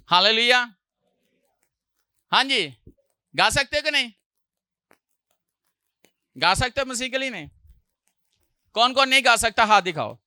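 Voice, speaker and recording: Bright-voiced preacher. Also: male voice, sits high, smooth, no echo, clean studio sound. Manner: preaching with loud rises on hallelujah and jokey asides. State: sore throat, clearing his throat. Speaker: pastor. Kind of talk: sermon.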